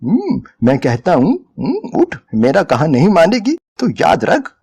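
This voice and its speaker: A man's voice: fairly high pitch, wildly swooping pitch, measured pace, creaky, darkish tone, slightly rough, voice pushed up, exaggerated voice. A man voicing a coercive male pimp in a dramatic story reading, harsh and cajoling, with grunted hums between commands. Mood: angry, threatening. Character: coercive male pimp, wheedling then threatening.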